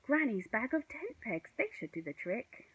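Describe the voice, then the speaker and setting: A woman voicing a brisk, unflappable boy hero in a children's storybook, chanting his instructions in a commanding way. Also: a little high, sing-song, a bit bright, slightly rough, dull audio, voice pushed up, full character voice. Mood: confident.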